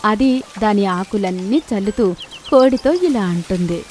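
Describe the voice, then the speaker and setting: A woman narrating a children's animated moral story in a gentle, steady storytelling voice. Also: medium pitch, a little slow, slight background noise.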